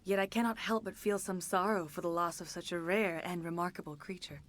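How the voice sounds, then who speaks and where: An actress playing a centuries-old alien disciple in a video game, speaking formally with grave, measured phrasing. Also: female voice, on the low side, steady tone, natural voice. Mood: awed, mournful. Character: centuries-old female alien disciple, solemn, remorseful and serene. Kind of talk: video game dramatic acting.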